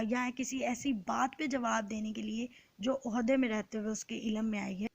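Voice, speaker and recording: Pakistani lady teacher, kinda high voice, explains stuff step by step, close dry recording. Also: dry recording. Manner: methodical, checks understanding with short tags. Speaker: law teacher. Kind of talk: explanatory exam-prep lecture with line-by-line translation.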